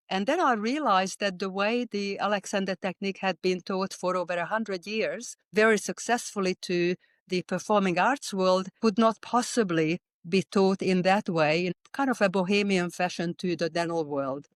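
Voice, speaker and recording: A woman's voice: mid-range voice, dry recording, clean studio sound. A woman with a Hungarian accent, a dentist telling her story earnestly as an interview guest.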